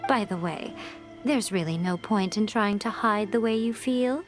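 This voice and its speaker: A woman voicing a sly, teasing, elegant fox shrine priestess in a video game, unhurried and smug. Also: mid-range voice, lightly acted. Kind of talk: video game character dialogue. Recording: game music behind the voice.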